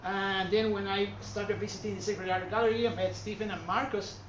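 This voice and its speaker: A man with a Puerto Rican Spanish accent lectures on art in a gallery hall, wandering through his sentences, stopping and restarting, leaning on plenty of "uh" and "um". He sits at a middling pitch and is picked up from well back in a reverberant room. The sound is dull and muffled, with steady hiss and murmur underneath. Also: a little fast. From Puerto Rico. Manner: rambling, self-correcting, with many fillers. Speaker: art history presenter. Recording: far-off echoey room mic, dull and noisy.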